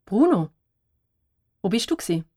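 A woman playing a concerned, scolding mother in a children's audio story, speaking in an expressive, reproving way. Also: medium pitch, unhurried. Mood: reproachful.

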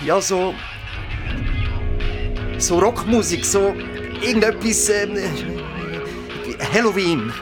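Casting: panicky Swiss German father for horror audio drama; high, volatile voice, slangy and hesitant, music behind. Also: takes it slowly, long pauses. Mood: hesitant, uneasy. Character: flustered, increasingly panicked young father. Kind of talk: naturalistic horror radio drama. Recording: music bed behind radio drama dialogue.

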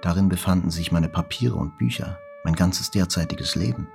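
An actor playing a wounded journalist who recalls an attack in a measured, reflective, scripted narration. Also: male voice, deep voice, gravelly, darkish tone. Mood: sober, reflective. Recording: prominent music under the narration.

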